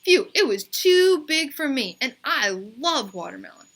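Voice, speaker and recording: A woman voicing a chatty, excitable schoolboy who rambles emphatically in a children's storybook read-aloud. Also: high voice, a little slow, pitched above the performer's own voice, exaggerated voice. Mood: boastful, animated.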